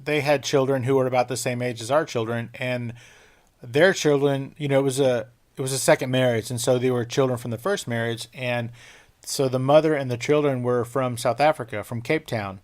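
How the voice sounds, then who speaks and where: A man's voice: medium pitch, steady tone, a little fast. Man hosting a casual podcast. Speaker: podcast host. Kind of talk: casual interview chat.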